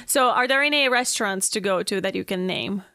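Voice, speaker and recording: A woman's voice: mid-range voice, brisk, pushed and bright, dry recording. A woman hosting a podcast, interviewing a guest and encouraging her with frequent murmurs of agreement. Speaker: podcast host.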